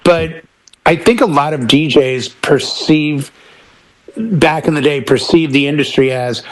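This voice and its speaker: A man chatting casually as a DJ on a panel, telling stories in an opinionated, animated way. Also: a little high, measured pace, rough recording. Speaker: DJ and podcast panelist. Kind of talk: casual chat.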